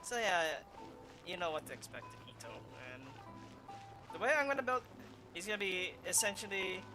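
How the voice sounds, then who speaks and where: Male streamer, high voice, unscripted and halting gameplay chat over audible music. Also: big pitch swings, rough recording. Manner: halting, thinking aloud. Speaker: gaming streamer. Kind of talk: casual, unscripted gameplay commentary. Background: game music.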